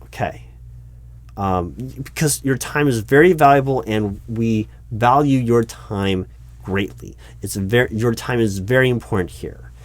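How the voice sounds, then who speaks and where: A man playing a polite, awkward corporate recruiting manager in a one-sided interview roleplay, speaking out loud with a hesitant, stammering, formal manner. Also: medium pitch, unhurried, no echo, close to an everyday voice. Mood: politely businesslike. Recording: steady background noise, keyboard typing. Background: computer keyboard typing.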